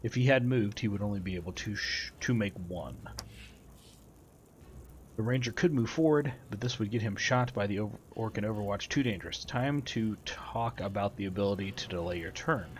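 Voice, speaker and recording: A man's voice: fairly low pitch, moderate pace. A man hosting a gameplay video, casually commenting and reading tutorial text aloud. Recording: faint background noise, game ambience.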